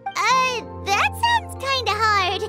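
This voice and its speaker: Tiny excitable fairy sidekick from a game, super high swoopy voice, music behind. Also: female voice, slow, full character voice. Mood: whiny doubt. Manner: refers to herself in third person, whiny, exclaiming. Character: small floating fairy companion girl, excitable, self-important, childlike. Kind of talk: video game voice acting.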